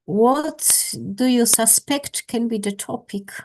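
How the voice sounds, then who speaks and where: A woman with a Polish accent, leading an online English conversation class as its teacher, with careful, halting non-native phrasing. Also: on the high side, a little mellow, a little hiss or hum. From Poland. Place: indoors on a video call.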